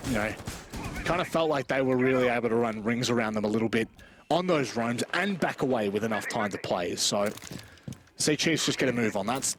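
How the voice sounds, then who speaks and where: Male esports commentator, mid-range pitch, informal joker, clear game battle noise under the voice. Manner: informal, self-deprecating, joking. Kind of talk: live esports commentary, casual banter. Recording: game gunfire noise behind voice. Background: video game gunfire.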